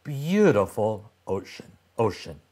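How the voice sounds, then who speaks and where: An older man reading a children's story aloud with expressive, deliberate and emphatic delivery. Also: fairly low pitch, breathy edge. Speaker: storybook reader for young children.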